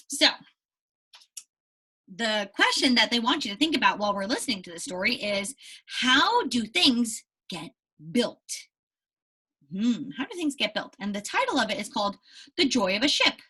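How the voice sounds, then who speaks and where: A woman teacher giving a read-aloud lesson to young children, animated and explanatory with frequent check-in questions, in a slightly husky voice. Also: on the high side. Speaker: elementary school teacher.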